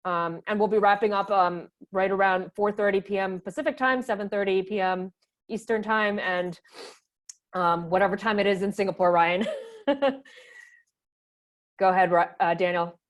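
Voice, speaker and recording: A woman's voice: medium pitch, steady tone, moderate pace. Upbeat woman host introducing a guest. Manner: upbeat, loose, with "um" fillers. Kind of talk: casual live introduction.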